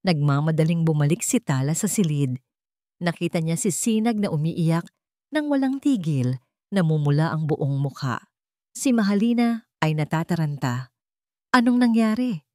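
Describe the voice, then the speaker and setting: A woman's voice: deep voice, lively intonation, moderate pace, dark tone, dry recording. A woman reading a scripted dramatic love story as its narrator.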